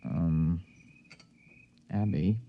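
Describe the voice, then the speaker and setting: A man's voice: low-pitched, fairly even intonation, extremely slow, heavily acted. An actor playing a scheming, skeptical educated man in a radio drama, with an American accent and a stammering, insistent delivery. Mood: awkward, hushed. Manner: stammering, insistent, swings from hushed to exclaiming. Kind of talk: 1950s radio drama acting. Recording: dry, dull vintage radio recording.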